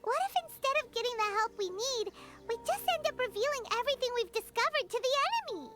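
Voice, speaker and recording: Super high squeaky little game fairy girl, earnest worrier, voice bouncing all over. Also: animated, fast talker, over loud music. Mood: worried. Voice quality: squeaky, childlike. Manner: speaks of self in third person. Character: tiny childlike female floating fairy companion, earnest, worried. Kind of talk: video game character acting.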